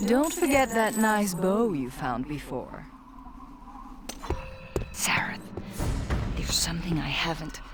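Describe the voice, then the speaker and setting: A woman's voice: low-pitched, noisy background. An actress playing a sultry, teasing, secretive female demonic guide in a video game, sly and purring with mock affection. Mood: secretive, hushed.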